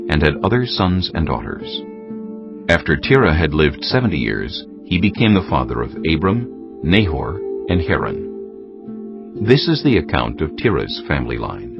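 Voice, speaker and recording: A man narrating a dramatized scripture recording in a measured, solemn storytelling style. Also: very deep voice. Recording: muffled sound under clear music.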